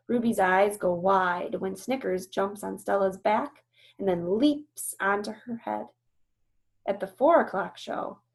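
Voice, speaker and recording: Creaky-voiced woman reading aloud as teacher. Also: mid-range voice, darkish tone. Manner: instructive, checks understanding. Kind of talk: storybook read-aloud with explanations.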